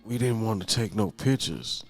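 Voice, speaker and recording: A man's voice: on the low side, normal speed, faint music behind. A man in his fifties with a Houston accent, co-hosting a casual, profane chat show. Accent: Houston Fifth Ward. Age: 50s. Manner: loose, profane storytelling. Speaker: podcast co-host.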